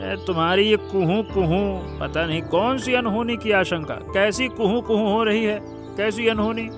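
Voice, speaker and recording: A man acts out a scornful young farmer son in a moral cartoon. His voice sits high for a male, with a curt, sarcastic, nagging delivery. Music is clearly heard behind it. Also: normal speed. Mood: irritated, puzzled. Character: gruff, scornful grown son, village farmer. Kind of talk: Hindi moral cartoon story dialogue.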